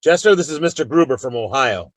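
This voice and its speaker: An actor playing a calm, authoritative Old West marshal with an American accent and a measured, firm delivery in a radio drama. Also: male voice, mid-range voice, no room sound, close to an everyday voice. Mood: official. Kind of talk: Western radio drama acting.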